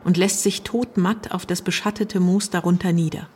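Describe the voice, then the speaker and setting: An actress in a German radio play playing a sober village chronicler, narrating a dark literary tale in measured phrases. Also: female voice, on the low side, steady tone, a little hiss or hum, natural voice. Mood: somber.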